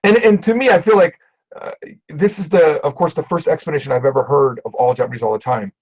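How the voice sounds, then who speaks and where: A middle-aged man and language teacher chatting casually on a video call, animated and self-deprecating. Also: fairly high pitch, fast talker, breathy edge, muffled recording. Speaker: Japanese teacher and show host. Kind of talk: casual livestream conversation.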